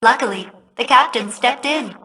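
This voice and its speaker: A chipper female ship AI for a video game. She sounds bright and fairly high, precise and pleased with herself. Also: moderate pace, slight background noise. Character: chipper, literal-minded female ship computer AI.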